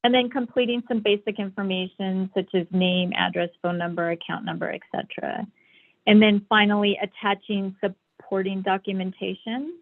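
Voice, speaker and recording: A woman's voice: mid-range voice, fairly even intonation, moderate pace. A woman giving a slide presentation on a conference call over a thin, tinny phone line. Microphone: phone or online call.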